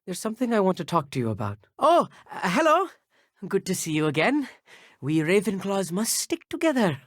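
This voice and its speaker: Teen wizard nerd for a game, super animated pitch, dry clean sound, polite n a bit pompous, nervous but show-offy. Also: male voice, normal speed, no echo, full character voice. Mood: eager, proud. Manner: articulate, formal, slightly pompous. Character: eager, bookish teenage schoolboy wizard, nervous but boastful. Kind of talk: video game character dialogue.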